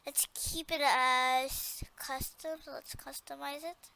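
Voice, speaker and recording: Tutorial presenter, female, notably high pitch, unhurried pace, informal and hesitant, repeats each step. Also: fairly even intonation, gravelly, bright, forceful tone. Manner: hesitant, repeats instructions as she goes.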